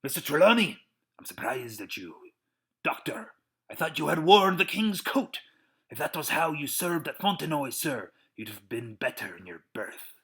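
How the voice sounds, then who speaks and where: A man's voice: fairly high pitch, very animated, moderate pace, fairly bright tone, pitched above the performer's own voice. A man voicing a stern, proud sea captain who speaks with clipped authority, as in an adventure storybook. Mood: angry. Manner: clipped, authoritative orders and defiance.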